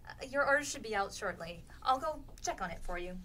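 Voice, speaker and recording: A woman's voice: mid-range voice, expressive, brisk, bright, forceful tone. A woman acting in a comedic radio play as a polite, helpful, chatty waitress. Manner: polite, obliging.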